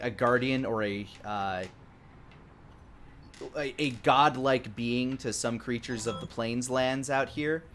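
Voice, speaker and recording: A man narrating a tabletop game as game master, improvising and searching for words as he describes things. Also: mid-range voice. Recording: clearly noisy background.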